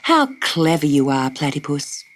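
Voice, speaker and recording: A woman's voice: deep voice, big pitch swings, unhurried, exaggerated voice. A woman voicing a small, curious girl who speaks eagerly and earnestly. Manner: eager, earnest, wide-eyed. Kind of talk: storybook character voice.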